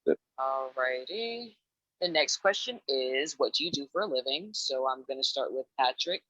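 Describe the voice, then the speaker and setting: A woman's voice: rumbling low, animated, bright, forceful tone, dry recording, muffled recording. A woman hosting a dating show call-in, chatty and upbeat, cheering each guest on. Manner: upbeat, answers each guest with a quick "awesome". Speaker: host. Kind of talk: casual chat, running a call-in dating show.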